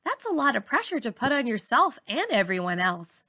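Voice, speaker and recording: An animated, high-pitched female teacher from a children's novel, as a woman reader acts her out. She is supportive and frank, urging a colleague to ease up in a bright, emphatic voice. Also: fairly quick, exaggerated voice. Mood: gently frank. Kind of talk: children's novel read-aloud dialogue.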